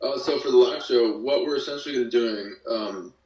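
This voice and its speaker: A man's voice: medium pitch, normal speed, a little mellow. A man chatting casually as an interview guest, explaining things in an informal, thoughtful way. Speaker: podcast guest, musician and audio drama creator. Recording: echoey room, distant from mic.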